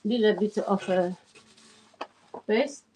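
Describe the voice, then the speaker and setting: A woman's voice: mid-range voice, takes it slowly, dark tone, slight background noise, low-quality recording. A woman in her forties chatting casually on a livestream while she cleans her oven, greeting viewers by name. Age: 40s. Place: home kitchen, indoors. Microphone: phone camera pointed at the oven.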